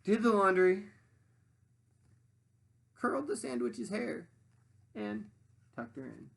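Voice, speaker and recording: Female storybook reader for young children: breathy, creaky voice, theatrical pauses, direct address to listeners. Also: medium pitch, very animated. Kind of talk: expressive read-aloud for children with asides.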